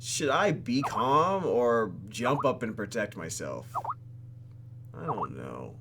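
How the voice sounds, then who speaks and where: A man's voice: mid-range voice, very animated, takes it slowly, vocal fry, background noise. A man reading visual novel text aloud for a let's play and reacting to it in a theatrical, exaggerated way. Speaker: YouTube gamer.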